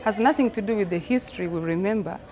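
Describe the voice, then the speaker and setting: A woman's voice: mid-range voice, quick pace, a little mellow. A woman from Rwanda, a theatre director explaining her work reflectively, repeating phrases for emphasis.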